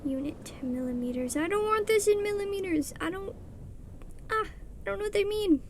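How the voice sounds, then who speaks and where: A young woman with a soft voice chatting casually and rambling while she draws on a livestream. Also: very high-pitched, normal speed, a little hiss or hum. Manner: chatty, rambling, self-deprecating, mutters settings under her breath. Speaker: streamer. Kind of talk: casual chat while drawing on stream. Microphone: streaming mic.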